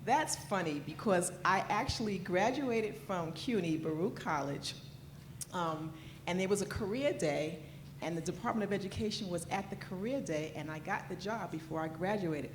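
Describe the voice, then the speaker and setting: A woman's voice: lowish voice, expressive, normal speed. A woman training officer from New York, introducing herself in a matter-of-fact way. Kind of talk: self-introduction at a conference. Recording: echoey conference hall with noise.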